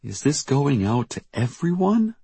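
A man's voice: medium pitch, big pitch swings, unhurried, vocal fry, warm tone, husky, no echo, voice pushed up, full character voice. A man voicing an anxious, tearful mother who frets and protests about her missing son in an audiobook story. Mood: worried, insistent.

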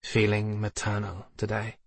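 A man voicing a gaunt inhuman male familiar in an audiobook, sly and hungry, with a feather-soft, wheezing, teasing delivery. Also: fairly low pitch, fairly even intonation, moderate pace, vocal fry, slightly rough, no echo. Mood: hungry, curious. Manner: teasing, insinuating. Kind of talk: audiobook character voice.

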